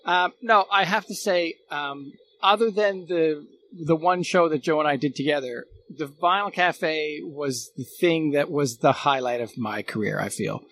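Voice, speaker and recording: A man's voice: fairly high pitch, unhurried, few pauses, fairly bright tone, rough recording. A man chatting casually as a guest, reminiscing earnestly and often rephrasing as he goes. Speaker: guest, former radio producer.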